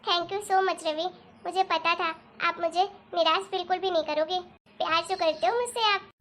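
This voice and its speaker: A woman playing a very high-pitched nagging young wife. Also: steady tone, normal speed, fairly bright tone. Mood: pleased coaxing. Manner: pleading, nagging, chatty. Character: demanding, spendthrift young wife, whiny and boastful. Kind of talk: Hindi cartoon moral story dialogue.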